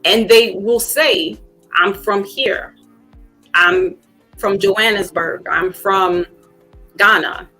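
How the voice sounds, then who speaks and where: A woman in a mid-range voice hosts a relaxed talk, thinking aloud with plenty of ums and you-knows. She sometimes pushes her voice up when making a point, with faint music under her. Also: medium pitch, slow pace, long pauses, low-quality recording. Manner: reflective, many fillers, rising to emphatic. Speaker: podcast host. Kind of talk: casual conversation.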